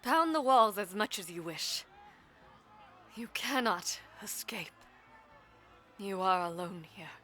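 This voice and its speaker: A woman acts out a powerful, proud female mage in a dramatic audio roleplay. Her voice is bright and intense at a middle pitch, and she takes her words slowly. The delivery is formal and imperious, that of a vengeful villainess willing to sacrifice herself. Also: animated. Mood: defiant, vengeful. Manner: formal, imperious, deliberate diction.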